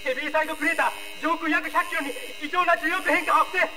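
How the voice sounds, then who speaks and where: Very high-pitched base operator. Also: male voice, fairly even intonation, fast talker. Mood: urgent. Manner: clipped reporting. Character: alarmed base operator reporting enemy approach. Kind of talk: dramatic audio drama acting.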